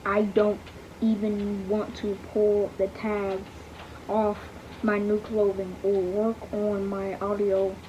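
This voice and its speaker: Slow halting young reader, flat voice. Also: female voice, mid-range voice, slight background noise. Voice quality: careful, halting, stumbles over words. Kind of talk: slow halting read-aloud, saying punctuation aloud. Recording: dull lo-fi home recording.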